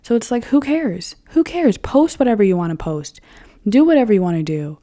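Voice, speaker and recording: A woman's voice: a little high, big pitch swings, a little fast, warm tone. A woman in her early twenties talking casually and frankly to her viewers, swearing freely and filling her talk with "like.". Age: 20s. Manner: chatty, frank and profane, lots of "like". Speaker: vlogger.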